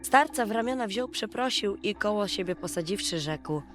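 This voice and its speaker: A woman narrating a scripted fairy tale for children at bedtime, in an expressive storytelling manner. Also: medium pitch, normal speed. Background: music.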